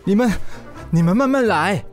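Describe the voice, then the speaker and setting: Gentle flustered father, Taiwanese accent, very animated intonation, hesitant sighs, radio drama. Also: sits high, normal speed, over loud music. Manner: hesitant, sighing, soft-hearted. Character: gentle, flustered widowed father of two children. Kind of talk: Mandarin radio drama acting.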